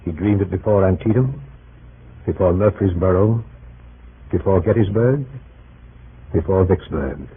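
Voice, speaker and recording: A man narrating an old-time historical radio drama in a grave, measured storytelling manner. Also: sits low, husky, full character voice. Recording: noisy, tinny old radio recording.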